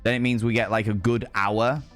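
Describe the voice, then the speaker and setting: A man with a hoarse, worn-out voice sits at his stream and banters with his chat, throwing out showy, teasing greetings. His voice sits on the low side, with faint music under him. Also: little pitch movement, brisk, fairly bright tone. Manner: playful, theatrical greetings to chat. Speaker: livestream host. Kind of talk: casual chat with viewers.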